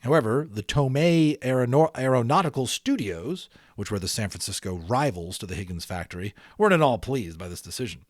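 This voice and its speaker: A man reading a children's story aloud in an expressive, theatrical manner, speaking directly to listeners. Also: mid-range voice, brisk, few pauses, dry recording, studio quality. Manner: theatrical, engaging listeners with questions. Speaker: audiobook reader.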